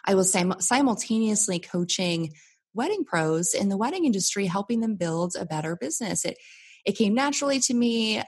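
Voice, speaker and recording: A woman's voice: mid-range voice, husky. A woman in her thirties, originally from the United States, telling her business story with upbeat energy as a podcast guest. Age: 30s. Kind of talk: conversational interview storytelling.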